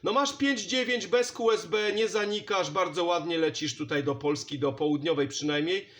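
A man's voice: high voice, moderate pace, fairly bright tone. A man chatting casually and in a friendly way as a CB radio operator. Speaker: CB radio operator and channel host. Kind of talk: casual CB radio contact chat. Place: indoor home radio station.

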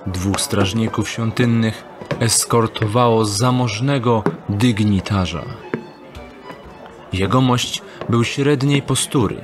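A man narrating a fantasy audio drama from a script as storyteller. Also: mid-range voice.

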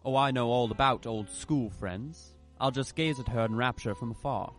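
A man's voice: mid-range voice, moderate pace, soft background music, lightly acted. An actor playing a droll, languid society man at a party in a radio play.

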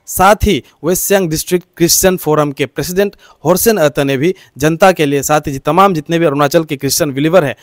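A man giving a halting, explanatory news report into a handheld microphone. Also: on the high side, no echo, studio quality. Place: outdoor balcony by a green field.

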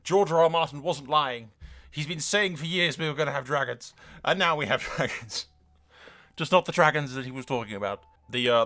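A man's voice: mid-range voice, pushed and bright. A man hosting a let's-play, commentating in a rambling, enthusiastic, casual way that slips into dramatic role-play and swearing. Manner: rambling, enthusiastic, sweary, addresses viewers as lads. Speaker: gaming YouTuber, host. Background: game music.

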